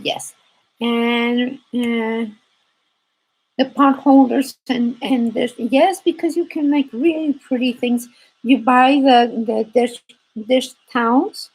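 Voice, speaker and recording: A native Spanish-speaking woman with a Spanish accent, hosting a casual craft livestream in a halting, rambling way and often repeating phrases. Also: a little high, vocal fry, darkish tone, husky, rough recording.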